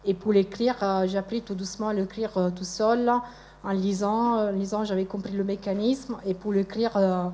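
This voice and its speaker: A woman with an Italian accent whose first language is Italian, speaking calmly as a parent at a school event. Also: medium pitch, normal speed.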